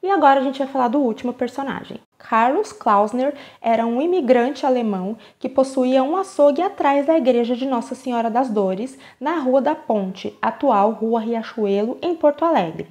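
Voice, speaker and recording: Brazilian woman, true crime host, higher voice, casual chatty delivery. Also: lively intonation, normal speed, few pauses, darkish tone. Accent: Brazilian Portuguese. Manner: conversational, chatty asides with slang.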